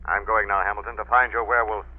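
A man's voice: lowish voice, fairly even intonation, quick pace, natural voice. Stern invisible avenger played by a man, tinny vintage radio. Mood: stern, pressing. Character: invisible crime-fighting avenger, stern, commanding interrogator. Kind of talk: 1940s radio acting. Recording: thin phone-like vintage radio recording.

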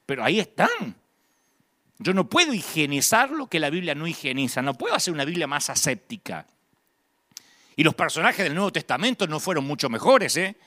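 A male preacher delivers a conversational sermon in a high-pitched, forceful voice. His intonation swings widely, and he leans on key phrases, repeating them for rhetorical emphasis. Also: very animated, brisk.

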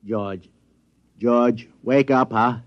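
An actor playing an obliging government handler in an old radio comedy, polite, placating and a little hesitant. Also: male voice, mid-range voice, steady tone, extremely slow. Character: obliging, coaxing male government handler named Adams. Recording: muffled old radio recording.

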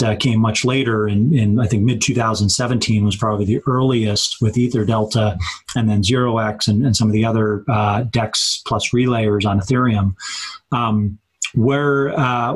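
A man hosting an interview podcast, reading intros and putting questions in a halting way as he searches for words. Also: on the low side, husky, poor audio. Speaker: podcast host.

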